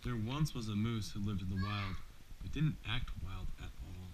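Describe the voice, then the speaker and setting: A man's voice: lowish voice, moderate pace. A man reading a bedtime storybook aloud to his child, as a father does. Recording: noisy amateur home recording.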